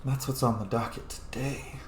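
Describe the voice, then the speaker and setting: Casting: male let's play streamer, mid-pitched, informal, in an echoey room. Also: steady tone, moderate pace. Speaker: gaming streamer, player. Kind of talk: casual let's play commentary. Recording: roomy echo, faint game noise.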